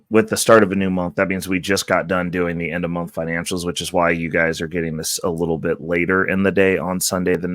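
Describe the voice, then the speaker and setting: A man hosting a casual vlog, hoarse from losing his voice, talking in an upbeat, loose, rambling way. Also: deep voice, fairly bright tone, dry recording. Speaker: channel host. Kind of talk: casual market-update vlog.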